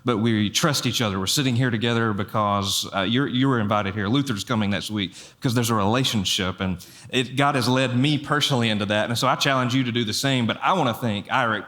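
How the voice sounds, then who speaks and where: A man's voice: mid-range voice, quick pace, hardly any pauses. A man in his thirties from the American South, hosting a church stage interview and answering with affirming amens. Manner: warm affirming, frequent amens. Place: church stage before an audience.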